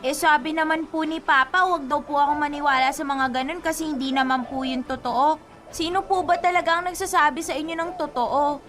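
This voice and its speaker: An actress playing a guileless, playful young woman in a radio drama, chirpy and expressive. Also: high-pitched, brisk. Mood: innocent, questioning. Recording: faint music behind.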